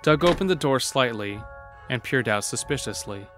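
A man's voice: mid-range voice, animated, moderate pace, a bit bright, faint music behind. A man narrating a scripted horror story as the narrator of an audio drama.

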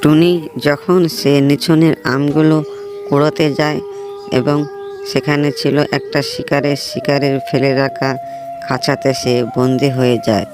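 A man's voice: a little high, sing-song, moderate pace. Male storyteller narrating over music. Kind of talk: scripted children's fable narration. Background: background music.

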